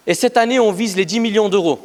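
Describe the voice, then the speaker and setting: A man in his thirties giving a motivational startup pitch on stage, emphatic, repeating phrases for effect as he tells a story. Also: fast talker. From Afghanistan. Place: large event hall, on stage. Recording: echoey hall with long reverb.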